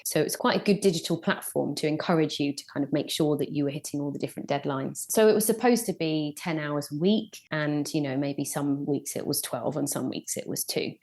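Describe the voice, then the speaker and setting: Forties woman, journalist guest; low-pitched, thorough and conversational. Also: darkish tone. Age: 40s. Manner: reflective, thorough, many "kind of" fillers. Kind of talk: conversational interview answers.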